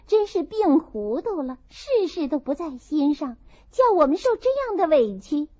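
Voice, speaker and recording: A woman voicing a sharp-tongued, indignant young noblewoman in an audiobook, with forceful, pointed delivery. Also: high-pitched, sing-song, moderate pace. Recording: dull lo-fi dry recording.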